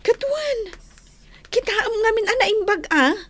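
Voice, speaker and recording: A woman's voice: very high-pitched, animated, a little slow, a bit bright, slight background noise, exaggerated voice. A woman playing a concerned, gossipy friend in a radio drama, with an Ilocano accent. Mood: insistent, revealing. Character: concerned, gossipy female friend breaking bad news. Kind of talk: Filipino radio drama acting.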